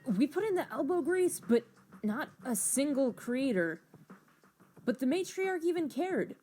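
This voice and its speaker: A woman's voice: high-pitched, sing-song, unhurried, mellow, pitched above the performer's own voice. A woman voicing a disillusioned, grumbling schemer in a comic audio drama, ranting in exasperation. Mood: indignant. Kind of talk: hobby voiced comic drama.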